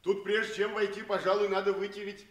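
A man's voice: sits high, flat delivery, a little fast, fairly bright tone. A man playing a good-humoured, protective vagabond with a folksy, mock-grand manner in a 1960s radio play. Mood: jovial.

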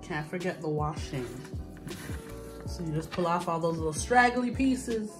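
A woman's voice: on the low side, animated, measured pace. A woman hosting a home cooking video, chatty, upbeat and teasing while she films in the kitchen. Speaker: host. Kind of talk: casual cooking show chat. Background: background music.

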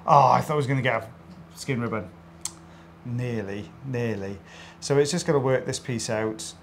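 A man's voice: medium pitch, moderate pace, slight background noise. A middle-aged man with a South Welsh accent, giving casual, joking commentary straight to viewers as an audiologist. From South Wales. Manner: joking, addresses viewers directly. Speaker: audiologist co-presenting a procedure video.

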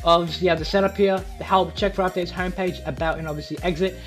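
Young guy doing a casual software walkthrough, talks fast, bit of music in the back. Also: high voice, fairly even intonation. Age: young adult. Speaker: tutorial video host. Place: small home room. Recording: faint music behind voice.

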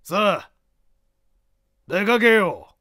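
A man voicing a rough young gang leader with coarse, commanding rustic speech in a story reading. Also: high-pitched, very animated, extremely slow, pushed and bright, no room sound, studio quality, pitched above the performer's own voice, full character voice. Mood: scheming, urging.

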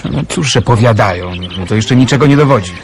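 A man's voice: medium pitch, lively intonation, brisk, crowd noise, lightly acted. An actor playing Hubert, a hesitant and reluctant resistance man, in a radio play.

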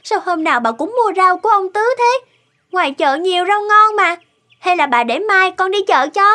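High-voiced, curious cartoon schoolgirl. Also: lively intonation, normal speed, bright, forceful tone, full character voice. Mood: puzzled. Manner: questioning, concerned, plaintive. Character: caring, curious young granddaughter, a schoolgirl. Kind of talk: cartoon dialogue acting.

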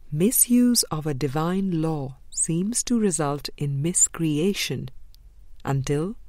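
A woman reading a spiritual book aloud in a measured, deliberate way, pausing between short phrases. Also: low voice, mellow, dry recording. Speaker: audiobook reader.

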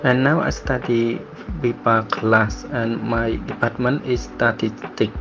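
A student from Cambodia speaking simple, halting English. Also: male voice, medium pitch, steady tone, vocal fry. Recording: dull audio with music underneath.

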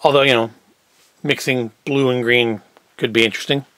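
A man's voice: medium pitch, steady tone, moderate pace, pushed and bright, no echo. A man giving a casual, unscripted product review as host, with wry, self-deprecating asides. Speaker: host of a food review show. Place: indoor tabletop set. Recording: clear background noise, packaging crinkles. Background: clicks and crinkling packaging.

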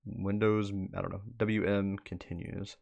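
A man's voice: fairly low pitch, level tone, unhurried, vocal fry, a little mellow, no room sound, muffled recording. A young man hosting a livestream, giving casual live reaction commentary and bantering with his chat. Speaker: livestream host. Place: indoor room at a desk, livestream. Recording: music behind the voice.

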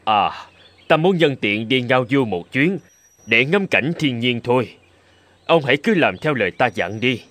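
A man's voice: mid-range voice, animated, a little slow, fairly bright tone, no room sound. A man voicing a courteous middle-aged poet and nobleman in a folk-tale cartoon, speaking politely and theatrically. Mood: calm, instructing. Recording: faint music behind the voice.

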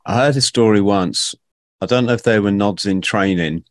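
Laid-back, low-voiced middle-aged male host. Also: moderate pace, no echo, studio quality. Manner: laughing, jokey asides, relaxed banter. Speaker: podcast host. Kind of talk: casual interview chat. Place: home studio. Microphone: studio mic with headphones.

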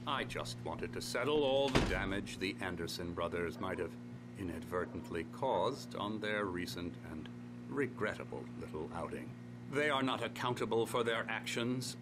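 A man's voice: lowish voice, heavy background noise. An actor playing a polished, ingratiating male psychiatrist in a video game. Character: smooth, condescending male psychiatrist.

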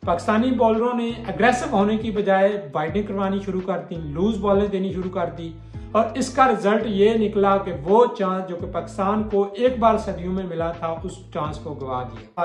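A man's voice: high voice, normal speed. A young man gives a blunt, mocking cricket analysis straight to camera in a small bare room. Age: young adult. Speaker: cricket commentator vlogger. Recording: echoey room, faint music behind.

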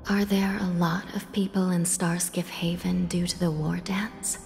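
A stern, soft-spoken underworld judge. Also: female voice, lowish voice, flat delivery, gravelly. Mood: wistful. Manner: formal, measured, commanding. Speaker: game character. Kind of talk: video game voice acting. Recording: studio voice over music in long reverb.

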